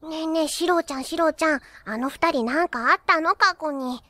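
A woman's voice: sits high, fairly quick, sharp tone. A woman playing a perky, cheeky teenage idol magical nurse in an anime, with cutesy catlike tics. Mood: nosy. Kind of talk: anime character acting and excited narration.

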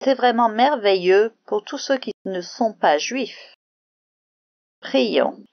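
Woman, children's Bible teacher: slowish, creaky delivery, simple explanations, dry close sound. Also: fairly high pitch, lively intonation, dry recording, highs cut off. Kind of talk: narrated children's Bible lesson with prayer.

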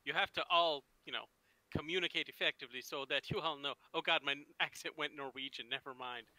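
A man's voice: on the high side, fairly quick, sharp tone, rough recording. A man playing at a tabletop game, chatting lightly and joking about his character. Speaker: player. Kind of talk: casual chat at a tabletop game.

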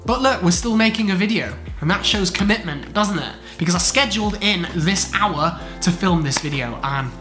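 A man's voice: high voice, expressive, moderate pace, hardly any pauses. A young man in his twenties, congested from a chest infection, talking casually to camera in a home study room about his weekly routine, gesturing a lot. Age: 20s. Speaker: medical student vlogger. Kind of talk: casual talking-head vlog. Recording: roomy, with background music.

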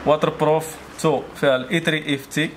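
Fast-talking Moroccan salesman, noisy recording. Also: fairly high pitch, steady tone. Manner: repetitive, salesman-like patter. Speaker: shop seller presenting products. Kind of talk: informal product presentation. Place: small motorbike accessory shop, indoors. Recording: clearly noisy shop ambience.

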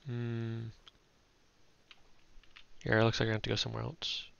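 A young man giving relaxed, chatty gameplay commentary, thinking aloud as he plays. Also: mid-range voice, moderate pace, gravelly, smooth, dull audio. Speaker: gaming YouTuber.

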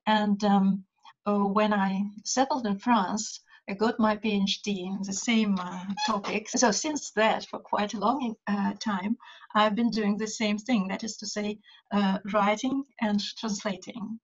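Older woman with a Russian accent, measured and reflective, on a video call; audio slightly muffled. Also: medium pitch. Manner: measured, thoughtful, many hesitations. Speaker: writer and translator. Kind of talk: conversation over video call. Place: home study with bookshelves.

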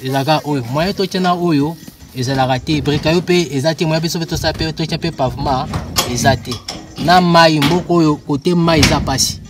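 Young man, slightly higher voice, outdoors, faint music. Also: fairly quick. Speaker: worker. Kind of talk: interview answers. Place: outdoors beside a metal shed.

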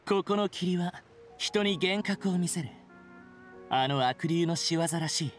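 A man's voice: fairly high pitch, unhurried, lightly acted. An arrogant, sarcastic young man voiced by an actor in an anime game. His delivery is dismissive and sneering, with faint music behind him. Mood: scornful. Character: haughty, sarcastic, sharp-tongued young male wanderer. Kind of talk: Japanese anime game acting.

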